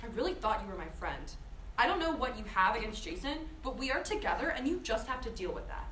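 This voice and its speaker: A woman playing a jealous, combative, self-absorbed high school girl, snappy and indignant, at a table read in an echoey room. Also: very animated, fast, a bit bright. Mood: defensive, hurt. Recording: echoing room, faint noise.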